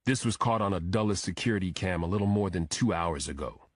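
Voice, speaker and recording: A man's voice: sits low, expressive, fairly quick, no room sound, studio quality. A man voicing a serious FBI agent who briefs a colleague in a terse, official way, in an audiobook. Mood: guarded, serious. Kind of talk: audiobook character dialogue.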